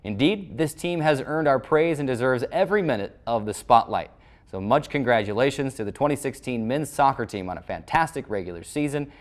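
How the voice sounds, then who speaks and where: A man's voice: mid-range voice, expressive, fairly quick. Male sports show host, scripted recap delivery. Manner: formal sports-broadcast phrasing.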